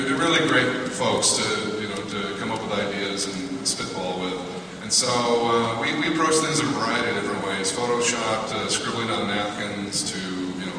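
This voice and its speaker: Man, low voice, relaxed rambling panel talk, distant mic, big echoey hall. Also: background noise. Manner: relaxed, rambling, many fillers. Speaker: makeup effects panelist. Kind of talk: casual panel presentation. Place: large convention hall. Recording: echoey hall recorded from far off.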